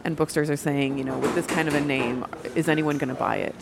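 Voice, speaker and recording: A woman's voice: low voice, fairly even intonation, fast talker, creaky, over music. A woman hosting a show, interviewing a writer and framing long, articulate questions. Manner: articulate, lays out questions at length.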